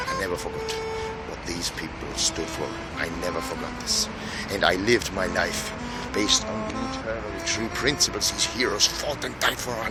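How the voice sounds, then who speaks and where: An Austrian man, a native German speaker, delivering a solemn, grandiose, halting monologue with emphatic repetition, outdoors on a city square. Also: medium pitch, poor audio. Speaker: speaker in a self-made video statement. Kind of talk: impassioned declamatory monologue.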